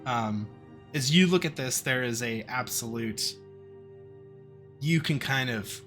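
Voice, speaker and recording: A man's voice: mid-range voice, slow, a bit bright, soft background music. A man acting as game master, narrating a tabletop game in a descriptive, conversational way with casual comparisons.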